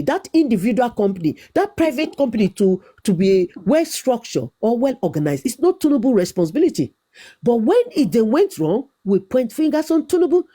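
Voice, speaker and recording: Nigerian woman, young adult, insistent debater who won't yield the floor; mellow, animated delivery. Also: on the high side. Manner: insistent, talks over interruptions, repeats herself. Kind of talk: heated debate.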